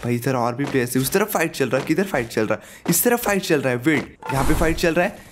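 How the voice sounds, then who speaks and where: A young man with a sore throat giving excited live commentary over his own mobile battle royale match, hyping up his kills and laughing often, speaking into a headset at home. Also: medium pitch, quick pace, noisy background. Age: young adult. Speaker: gaming YouTuber. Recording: game gunfire behind.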